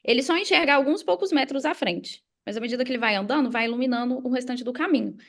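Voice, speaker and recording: High-voiced Brazilian woman with creaky edges. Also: quick pace. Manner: emphatic, repeats key phrases, uses examples and acted quotes. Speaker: podcast guest, affiliate marketer and mindset coach. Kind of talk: casual motivational explaining on a podcast.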